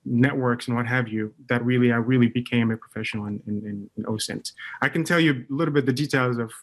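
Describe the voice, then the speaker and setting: A man's voice: medium pitch, steady tone, fast talker. A man in his thirties from Morocco, speaking as a guest on a panel webcast, with many fillers and restarts. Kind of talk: casual panel chat.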